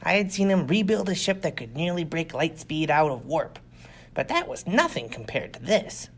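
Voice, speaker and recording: A man reads a first-person space adventure as an audiobook narrator. His pitch moves around freely and expressively, and his voice has a gravelly, creaky edge. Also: sing-song, moderate pace. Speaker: audiobook reader. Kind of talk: scripted first-person sci-fi story narration.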